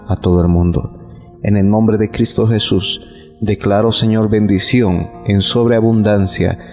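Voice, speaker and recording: A man's voice: low-pitched, darkish tone. A man in his thirties from Bolivia, praying solemnly and giving his testimony as a prison pastor. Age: 30s. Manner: solemn, prayerful, with repeated invocations. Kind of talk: prayer, scripture reading and personal testimony. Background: faint instrumental music.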